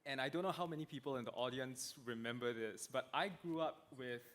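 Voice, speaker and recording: A man's voice: medium pitch, fairly quick, a bit bright. Singaporean man speaking on a panel. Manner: thoughtful, frequent "um" fillers. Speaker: panelist, internet platform representative. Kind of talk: panel discussion answer. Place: conference stage.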